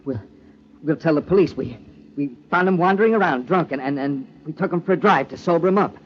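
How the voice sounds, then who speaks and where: An actor playing a desperate, guilt-ridden killer in an old-time radio drama, hard-boiled but cracking with nerves. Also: male voice, a little high, close to an everyday voice. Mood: grim, strained. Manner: halting, stammering when explaining. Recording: dull, noisy old radio recording.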